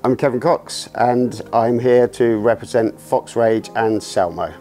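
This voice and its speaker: A man answering interview questions as a competing angler. He talks in a relaxed, hedging way, recorded on a lapel mic indoors. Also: medium pitch, unhurried, faint music behind. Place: indoor room.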